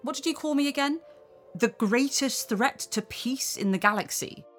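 A woman's voice: high-pitched, expressive, moderate pace, fairly bright tone, soft background music. A woman voicing a jaded celebrity TV presenter in an audio drama, dry, offhand and cynical. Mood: wistful.

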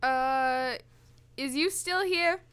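A woman voices a small-time crook with a very high, bright, brash voice. The crook swings between street-tough defiance and frightened pleading, all in the style of old radio drama. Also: extremely slow, clean tone, heavily acted. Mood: scared, defiant.

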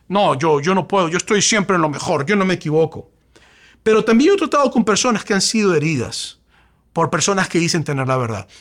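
A man with a Venezuelan Spanish accent preaches from the pulpit. His voice sits a bit high for a man, and he builds emphasis through repeated rhetorical questions. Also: a bit bright. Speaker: pastor. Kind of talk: sermon.

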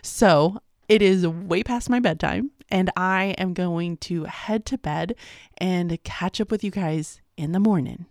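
A woman's voice: on the low side, slow pace, a little mellow. A woman, chatting casually in a rambling daily vlog, sounding sleepy. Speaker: vlogger.

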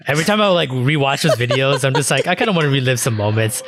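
A man's voice: medium pitch, animated, quick pace, fairly bright tone, a little hiss or hum. A man chatting casually as a podcast co-host about video games, swearing freely. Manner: casually profane.

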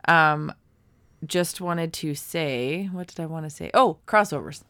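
A woman's voice: deep voice, a little slow, a bit bright, no echo. A woman co-hosting a casual podcast, chatting and often chiming in with short agreements. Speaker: podcast co-host. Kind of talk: casual board game podcast chat.

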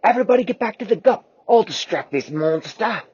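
A man puts on a daring cartoon sailor who shouts his lines high and bright. The voice is husky and swoops all over the place. The recording sounds dull and lo-fi. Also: fairly quick, heavily acted. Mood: excited. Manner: high excited exclamations.

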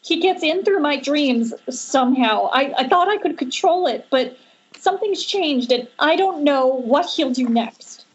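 A woman's voice: high-pitched, expressive, unhurried, highs cut off. A woman playing an anxious, guilt-ridden young mother in an earnest amateur script read over a noisy internet call. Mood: anxious, urgent. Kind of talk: amateur screenplay table read.

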